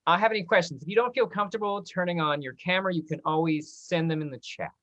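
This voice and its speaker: A woman's voice: lowish voice, quick pace, fairly bright tone, lo-fi sound. A woman moderating an informal question session over a video call. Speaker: discussion moderator. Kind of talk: hosting an online Q&A.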